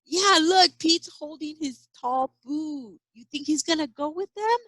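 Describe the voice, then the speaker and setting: A woman's voice: sits high, measured pace, fairly bright tone, dry recording, low-quality recording. A woman teacher reading a children's picture book aloud expressively, with chatty asides and questions to young listeners. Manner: acts out sound effects and exclamations. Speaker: early education teacher.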